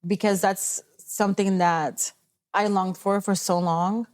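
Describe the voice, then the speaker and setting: A woman's voice: medium pitch, steady tone, slow. A young woman with a mid-range voice speaks as a podcast guest. She tells her story with open gratitude, and Spanish words turn up in her English. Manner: warm storytelling, grateful, mixes Spanish words. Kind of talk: casual heartfelt chat.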